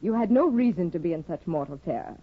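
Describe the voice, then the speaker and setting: An actress playing a proud, discontented young aristocratic wife in a poised, theatrical 1950s radio drama manner. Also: female voice, fairly low pitch, animated, quick pace, slightly rough. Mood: taunting, falsely sweet. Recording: muffled, phone-like old radio broadcast, roomy.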